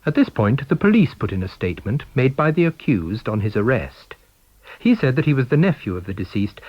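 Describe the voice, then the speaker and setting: A British man narrates a story in a middle-pitched voice with very animated intonation. The sound is close and dry, slightly dull in the top end. He reads as a practised storyteller. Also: breathy edge, no room sound. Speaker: audiobook reader. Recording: dull, band-limited recording.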